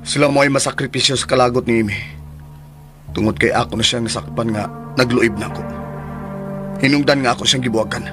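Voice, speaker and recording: An actor playing a hot-tempered, protective grown son in a radio drama, scolding and questioning sternly. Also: male voice, mid-range voice, steady tone, brisk, long pauses, over music, close to an everyday voice. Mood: grave, protective.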